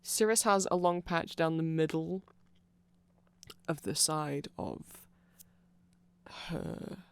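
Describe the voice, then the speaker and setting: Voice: mid-range voice, smooth, dry recording. A person giving an informal, rambling ASMR-style explanation full of asides, close to the microphone. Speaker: ASMR audio creator.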